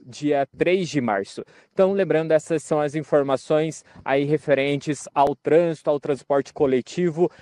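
A young man works as a field reporter. His voice sits a bit high yet stays mellow, and it is captured dry on a handheld microphone. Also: no echo. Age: young adult. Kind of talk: live news report. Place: outdoors in a park-like event ground.

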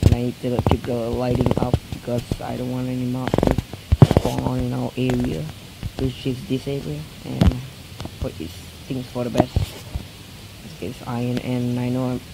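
A man's voice: medium pitch, completely flat delivery, normal speed, vocal fry, very noisy. A fourteen-year-old boy chatting through mobile game commentary, his voice weak from a recent illness, rambling with many restarts. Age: teens, fourteen. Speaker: gameplay commentator. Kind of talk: casual let's-play commentary.

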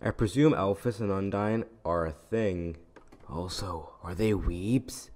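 A man reads fan questions aloud in a plain, casual way for a comic dub. His voice sits somewhat low and relaxed. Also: slow pace.

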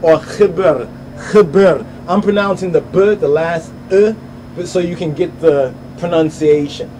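Mellow man teaching word sounds. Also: on the high side, a little hiss or hum, rough recording. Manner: keeps asking "you understand?" after each point; spells out word sounds slowly and repeats them. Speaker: bible study teacher. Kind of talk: informal religious language lesson. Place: voice-over on slides.

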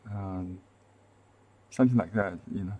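A man in his fifties with a Chinese accent, explaining calligraphy on a live stream from a desk webcam, softly and with many fillers and restarts. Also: deep voice. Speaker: calligraphy and seal-carving teacher. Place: indoor room with shelves, at a desk.

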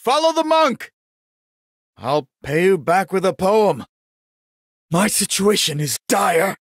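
A man voicing a genial, vain old warrior monk in a video game, speaking in a measured, courtly and wryly pious manner. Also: high-pitched, big pitch swings, no echo, clean studio sound, full character voice. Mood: urgent, commanding, then calm, wry. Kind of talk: scripted game character voice lines.

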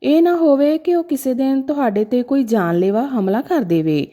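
A woman's voice: high-pitched, lively intonation, moderate pace, dark tone. A woman voicing a well-meaning male doctor in a story, formal and cautionary, giving insistent advice. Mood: insistent, concerned.

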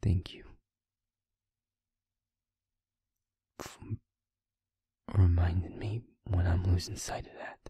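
A man playing a feisty, wounded young werewolf boyfriend in an intimate audio roleplay, breathy and husky, speaking haltingly with heavy pauses. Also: low voice, level tone, warm tone, no echo, full character voice. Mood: tired, tender. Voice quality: breathy, husky, often half-whispered.

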